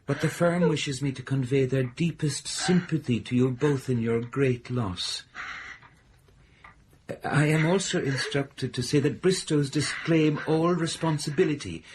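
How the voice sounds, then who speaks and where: An actor as a solemn company official bearing condolences in a radio play. His mid-pitched voice is courteous, formal and hesitant, with a little noise behind it. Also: measured pace, natural voice. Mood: formal, apologetic. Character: grave, apologetic company messenger, formal and hesitant. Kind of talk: naturalistic radio drama acting.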